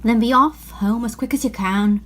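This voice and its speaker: A woman's voice: fairly high pitch, moderate pace, a bit bright, lightly acted. A woman voicing an anxious, remorseful male faun from a storybook, pleading and confessing dramatically. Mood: apologetic.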